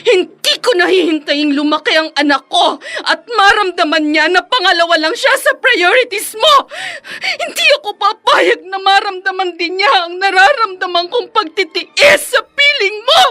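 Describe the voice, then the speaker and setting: A woman's voice: very high-pitched, expressive, normal speed, hardly any pauses, bright, forceful tone, heavily acted. An actress playing a hurt, fed-up wife and mother in a radio drama, tearful and impassioned. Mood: tearful, defiant.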